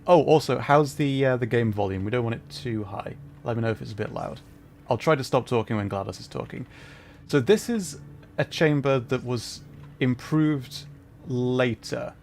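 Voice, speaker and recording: A man's voice: mid-range voice, moderate pace, gravelly, darkish tone, soft background music. A man livestreaming a game, chatting informally to viewers and explaining as he plays. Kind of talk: casual livestream commentary with chat.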